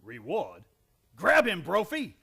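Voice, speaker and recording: An actor playing a bossy, impatient police lieutenant in an old-time radio comedy, with rough colloquial talk. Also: male voice, sits high, wildly swooping pitch, slow, bright, forceful tone, a little hiss or hum, exaggerated voice. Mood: barking orders. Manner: bossy, colloquial, says "yous". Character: brash, gruff-mannered, impatient police lieutenant.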